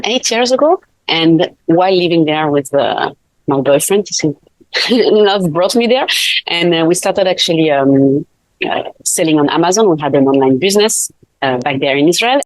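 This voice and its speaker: Low-voiced woman, laughing easily. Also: no echo, rough recording. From Switzerland. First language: not English. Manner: laughs often, many fillers and restarts. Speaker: guest, life coach. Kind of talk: casual interview chat.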